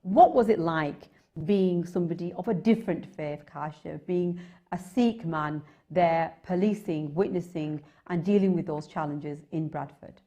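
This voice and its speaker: A female interviewer with an English accent speaks in a fairly low voice. She builds her questions slowly and wordily, pausing and hesitating as she goes. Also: warm tone, highs cut off. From England. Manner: deliberate, wordy questioning with hesitations. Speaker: TV host, interviewer. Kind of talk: conversational interview.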